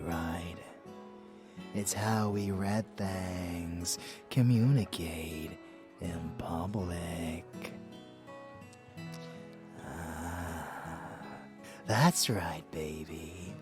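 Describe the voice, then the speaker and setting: A man playing a rebellious vampire lover in an intimate ASMR audio drama, doting and darkly scheming, in hushed murmurs. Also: low voice, recorded from a distance. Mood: conspiratorial, affectionate. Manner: slow, hushed, murmured endearments. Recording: heavy echo, music underneath.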